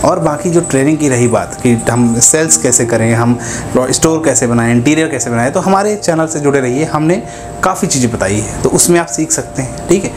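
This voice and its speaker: A man's voice: medium pitch, lively intonation, brisk, music in the background. A young man talking casually to camera as a business advice YouTuber, often asking his viewers "right?" between points, recorded on a lapel mic indoors. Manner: conversational, keeps asking "है ना", gestures with both hands. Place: indoors, grocery-store backdrop.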